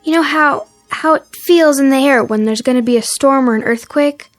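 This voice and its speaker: An actress in a radio drama playing an earnest, caring teenage daughter who questions anxiously. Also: female voice, high-pitched, normal speed, no room sound, faint music behind, lightly acted. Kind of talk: naturalistic radio drama acting.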